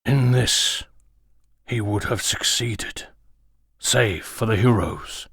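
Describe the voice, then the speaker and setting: A man voicing a solemn old alien storyteller in a comic dub, grave and measured, telling legends with dramatic pauses. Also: medium pitch, fairly bright tone, slightly rough, no room sound, clean studio sound, heavily acted. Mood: gentle, solemn.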